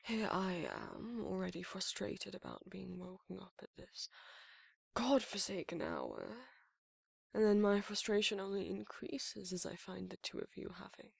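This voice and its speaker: A man playing a frail, flirtatious lover in intimate ASMR roleplay, with a theatrical drawl and a breathy, creaky voice. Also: high-pitched, sing-song, measured pace, dry recording, full character voice. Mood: mock grumbling. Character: frail, languid, flirtatious male lover, grumbling and seductive.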